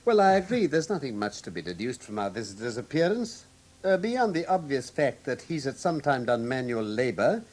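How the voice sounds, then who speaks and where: An English actor playing a brilliant, self-assured detective in a classic radio drama, with precise, teasing, lecturing diction. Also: male voice, medium pitch, very animated, normal speed, a little mellow. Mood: deducing.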